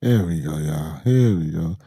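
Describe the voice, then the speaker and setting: A hoarse man hosting a podcast in loose, slangy casual chat, muttering to himself as he fumbles to share a clip. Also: rumbling low, expressive, a little slow, mellow, no echo, clean studio sound. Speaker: podcast host. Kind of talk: casual live-stream chat.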